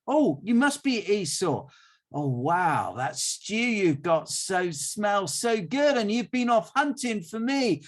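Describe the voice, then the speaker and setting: A man playing an old father, high wavering voice, lively pitch, children's story. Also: slow pace, exaggerated voice. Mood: pleased. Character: elderly blind father, gullible then dismayed.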